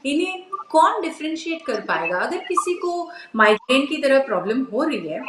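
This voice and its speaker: A woman with an Indian accent presents a live health show at a very rapid clip. Her voice is a bit high, and she moves between English and Hindi. The sound is echoey and roomy. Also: big pitch swings, noisy background.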